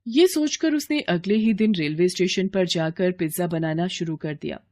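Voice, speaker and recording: A woman's voice: fairly low pitch, moderate pace, darkish tone. A woman reading a scripted children's moral story as narrator.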